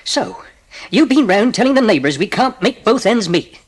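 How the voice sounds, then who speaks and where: An actor playing a proud, prickly English railway porter with a working-class accent and a blustering, plain-spoken manner, in a dramatised audiobook. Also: male voice, high-pitched, sing-song, moderate pace, a crowd in the background. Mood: indignant.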